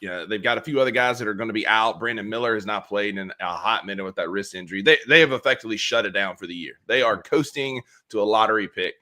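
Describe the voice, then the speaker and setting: Bright-voiced man talking sports. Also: fairly low pitch, fast talker, few pauses. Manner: rambling, animated, many fillers and restarts. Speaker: podcast co-host. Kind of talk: casual sports talk.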